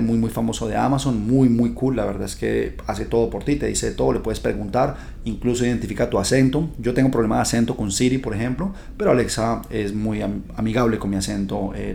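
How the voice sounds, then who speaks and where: A man's voice: on the low side, steady tone, brisk, vocal fry, hardly any pauses, warm tone, a little hiss or hum. A man hosting a podcast, talking to his listeners in a chatty, upbeat, direct way. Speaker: podcast host. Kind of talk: casual podcast monologue.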